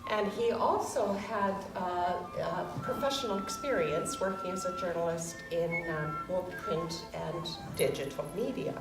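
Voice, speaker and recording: A woman giving a speech of introduction for a colleague, hesitant and pausing often. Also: lowish voice. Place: room at a public event. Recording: echoey room, distant mic, music.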